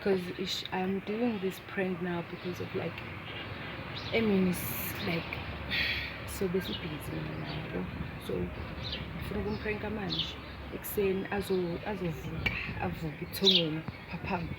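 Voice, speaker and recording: A woman's voice: on the low side, unhurried, vocal fry. A young woman with a South African accent and Zulu as her first language, chatting casually as a vlogger. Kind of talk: casual chat to viewers, mixing languages. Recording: noisy ambience with chirping birdsong.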